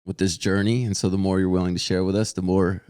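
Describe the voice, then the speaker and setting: A man co-hosting a podcast in casual chat, playful and supportive with quick jokes. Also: low voice, little pitch movement, darkish tone, clear, resonant tone. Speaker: podcast co-host.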